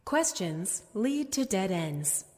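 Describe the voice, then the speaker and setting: An actress playing a polite, eerie theme park announcer, reading public-address messages in a courteous, measured, faintly ominous way. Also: female voice, medium pitch, very animated, darkish tone. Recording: echoey hall reverb over music.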